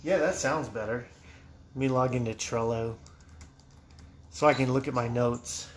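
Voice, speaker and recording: A man's voice: medium pitch, fairly even intonation, moderate pace. A man hosting a casual livestream podcast, chatty and loose, swearing casually. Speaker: host. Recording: echoey room with faint noise.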